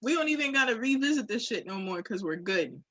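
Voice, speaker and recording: A woman with a fairly high, husky voice talks candidly, as on a podcast. She is reflective and emphatic and swears freely, and the sound is close and dry. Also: big pitch swings, a little fast, a bit bright, dry recording, highs cut off. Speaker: podcast guest or co-host. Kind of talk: candid casual conversation.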